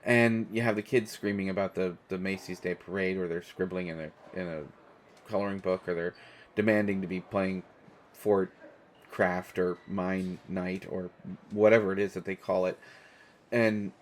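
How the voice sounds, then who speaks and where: Man acting hesitant American guy, flat low-key delivery. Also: lightly acted. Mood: reflective, gentle. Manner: hesitant, halting, with trailing pauses and self-corrections. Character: awkward, kindly man chatting up a stranger at an airport. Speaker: audio roleplay performer. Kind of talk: intimate one-sided audio roleplay, casual conversational acting.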